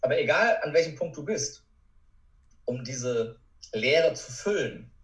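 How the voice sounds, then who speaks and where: A man's voice: mid-range voice, expressive, unhurried. Man speaking earnestly in a roomy space. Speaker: interview guest, motivational speaker and coach. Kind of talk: reflective personal account in a podcast interview. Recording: roomy, echoing sound.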